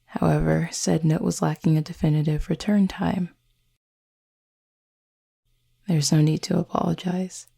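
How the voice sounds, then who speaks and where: A woman playing a gentle, formal cupid roommate in an intimate roleplay audio, speaking softly and breathily with measured, teasing politeness. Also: sits low, level tone, exaggerated voice. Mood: composed, fond. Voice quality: soft, breathy, slightly husky. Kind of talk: intimate roleplay audio acting, addressed to listener.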